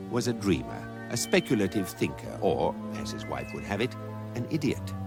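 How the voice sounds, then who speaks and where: An actor playing a dry, urbane guidebook narrator with an English accent, telling comic science fiction in a measured deadpan. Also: male voice, sits low, vocal fry, exaggerated voice.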